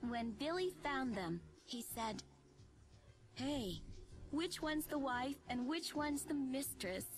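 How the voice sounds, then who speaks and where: High, perky little girl. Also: animated, slow pace, faint music behind. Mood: playful, curious.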